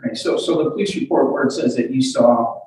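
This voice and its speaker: A man conducts a courtroom cross-examination from well away from the microphone, with heavy room echo around his voice. His pitch is average, and he presses on insistently while stumbling over and repeating his opening words. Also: fast, darkish tone. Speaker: lawyer questioning a witness. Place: courtroom hearing. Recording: echoey courtroom, distant microphone.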